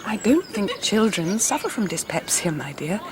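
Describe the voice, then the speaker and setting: A woman's voice: mid-range voice, huge pitch swings, normal speed, noisy background. A woman playing an anxious, genteel Victorian wife and mother with an English accent in a radio drama. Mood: worried, gentle. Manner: genteel, fretful. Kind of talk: 1990s British radio drama acting.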